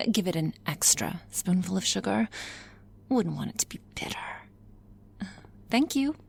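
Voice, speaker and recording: A woman playing a teasing, cynical, flirtatious boss in an intimate ASMR roleplay, sardonic and often slipping into breathy whispers. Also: on the low side, darkish tone, heavily acted. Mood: playful, flirtatious.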